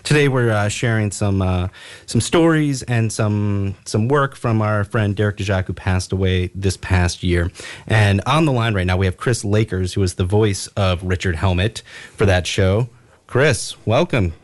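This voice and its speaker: A man's voice: fairly low pitch, moderate pace. Male radio host, casual talk, conversational presenting style. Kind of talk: casual tribute show talk with a phone guest.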